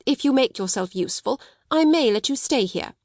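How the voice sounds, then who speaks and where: A woman's voice: high-pitched, expressive, moderate pace, dry recording, studio quality, voice pushed up. A woman reading the part of a stern, domineering headmistress, speaking coldly and sharply. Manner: cold, sharp, scolding. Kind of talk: audiobook character reading.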